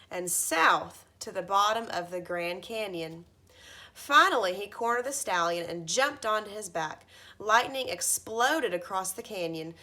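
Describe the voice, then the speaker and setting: A woman in her thirties reading a children's storybook aloud like a teacher, with expressive emphasis at the starts of phrases. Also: mid-range voice. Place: carpeted living room at home, indoors.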